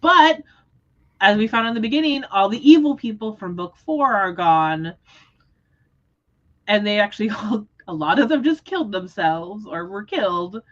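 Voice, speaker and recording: A woman hosting a casual book discussion livestream, talking in an animated, expressive way. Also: medium pitch, normal speed, lo-fi sound. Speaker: host of book readalong livestream.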